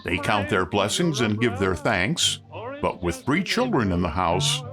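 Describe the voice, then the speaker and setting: A man with a low voice, announcing in scripted mid-century radio style. His delivery rises and falls freely, with music playing clearly behind him. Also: big pitch swings, measured pace.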